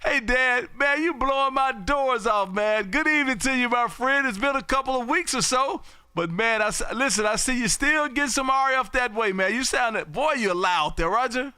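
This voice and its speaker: A man chatting and calling as an amateur radio operator on his live stream, loud, folksy and exuberant. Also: very high-pitched, normal speed, smooth, dry recording. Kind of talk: casual radio chat and calling. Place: home radio room.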